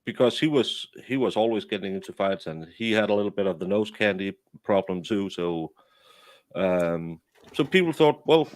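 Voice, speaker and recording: A man's voice: on the low side, animated, normal speed, no room sound. Man telling rambling stories, dry sound. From Denmark. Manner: rambling storyteller with many restarts. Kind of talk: casual chat on a stream.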